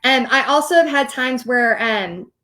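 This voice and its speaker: A middle-aged woman with a Texan accent, talking straight to her viewers like a coach on a casual livestream. Her voice sits high, and she chats along directly and laughs often. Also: expressive, a little slow, pushed and bright. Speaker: host teaching paint party business.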